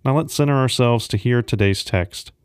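A man's voice: mid-range voice, normal speed, faint music behind. A man serving as host of a devotional podcast, reading a scripted announcement.

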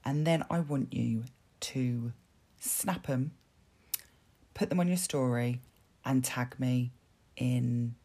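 A woman hosting a motivational self-help podcast, talking earnestly with a hoarse, dry voice, repeating words and using fillers as she goes. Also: very deep voice, fairly even intonation, takes it slowly, pauses often, dark tone. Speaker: podcast host.

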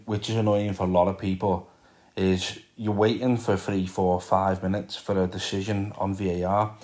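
Low-voiced Liverpudlian podcast host. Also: male voice, a little mellow. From Liverpool, England. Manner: chatty and rambling, with frequent ums and repeated words. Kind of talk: casual solo podcast talk.

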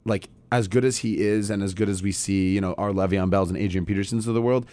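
A man's voice: on the low side, steady tone, fast, warm tone. A man in a casual sports debate who argues his point and pushes back. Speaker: sports podcast co-host.

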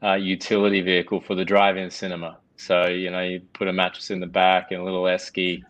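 A man with an Australian accent, a guest in a casual video chat, relaxed and easygoing. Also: low voice, fast talker, dull audio. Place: video call.

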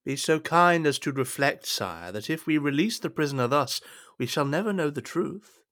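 A man playing a scheming, suspicious cardinal in a period audio drama, speaking in a measured, insinuating, courtly manner. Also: on the high side, expressive, a bit bright. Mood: coolly courtly. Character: smooth-tongued, scheming, suspicious cardinal and statesman.

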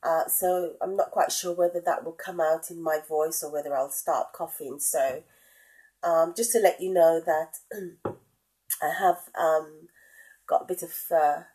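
A middle-aged woman hosting her own podcast, talking casually with a head cold and many pauses and ums. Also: deep voice, steady tone, warm tone, low-quality recording. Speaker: podcast host. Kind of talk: casual solo podcast talk.

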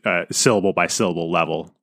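A man's voice: deep voice, fairly even intonation, fast talker, a bit bright, no echo, clean studio sound. A man hosting a podcast interview, engaged with his guest and adding his own stories. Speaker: podcast host. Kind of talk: conversational interview.

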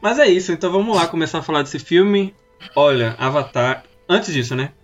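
A man co-hosting a podcast, lively and bossy with his friends in casual chat. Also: a little high, fairly quick, highs cut off, faint music behind. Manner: lively, bossy ringleader of the group. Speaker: podcast co-host.

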